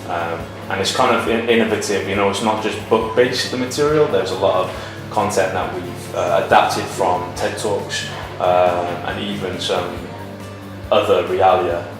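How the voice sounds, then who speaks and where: Male teacher, interview testimonial. Off-mic sound, clear music underneath. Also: low-pitched, fairly even intonation, a little slow. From Cardiff, Wales. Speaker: English teacher. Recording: very echoey, distant mic, music over it.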